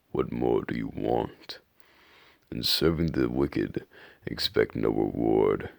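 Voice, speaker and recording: A man voicing a sneering, ungrateful wolf in a storybook fable, snappish and raspy. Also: rumbling low, no room sound, exaggerated voice. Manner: snappish, scornful.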